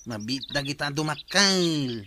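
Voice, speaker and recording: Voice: medium pitch, animated, measured pace, bright, forceful tone, slight background noise. An actor playing a defensive fish-pond owner in a radio comedy drama.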